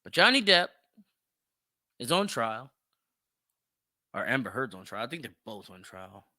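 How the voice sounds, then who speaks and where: A man's voice: medium pitch, very animated, measured pace, pushed and bright, dry recording, studio quality. A man hosting a solo opinion podcast, speaking emphatically and repeating phrases for effect. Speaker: podcast host.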